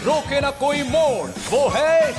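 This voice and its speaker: A man playing a grand, excitable announcer, giving dramatic rhyming narration for a children's superhero cartoon. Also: very high voice, slow, over music. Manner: dramatic, showy.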